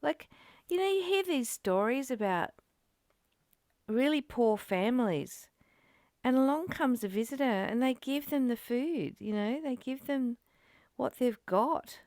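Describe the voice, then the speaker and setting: A woman's voice: sits high, animated, unhurried, a little mellow, no room sound, studio quality. A woman reading aloud and reflecting in casual discussion, in a musing, questioning and conversational manner. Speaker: study group co-host, reader.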